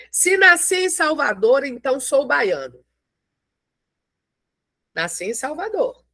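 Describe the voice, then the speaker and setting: Creaky-voiced woman teaching math. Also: a little high, a bit bright, no echo. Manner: explains slowly with frequent check-ins. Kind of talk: classroom lecture.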